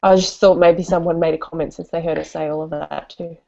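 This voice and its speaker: A woman's voice: low-pitched, fairly even intonation, brisk, vocal fry, dark tone. A woman with an Australian accent hosting a casual online chat, talking in a chatty, giggly way and loose, hedged sentences. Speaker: host of an online book discussion. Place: live online video call. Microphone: computer call, wearing headphones. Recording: dull, lo-fi internet call sound.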